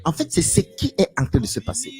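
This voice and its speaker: A man's voice: sits high, wildly swooping pitch, fairly quick, a little mellow, soft background music. A man giving a live stream monologue, lecturing with repeated rhetorical questions and addressing listeners directly. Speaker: live stream guest speaker.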